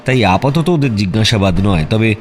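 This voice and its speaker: A man playing a composed, detached private detective who talks in a measured way in an audio drama. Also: fairly low pitch, expressive, natural voice. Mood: reasoning. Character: calm, methodical male private detective. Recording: faint music behind the voice.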